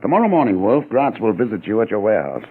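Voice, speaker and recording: An actor playing a cold, commanding ringleader in an old radio crime drama, speaking in a clipped, sly and domineering way. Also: male voice, fairly low pitch, sing-song, fast talker, breathy edge. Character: commanding middle-aged male ringleader, cold and menacing. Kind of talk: 1940s radio acting. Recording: tinny old radio broadcast.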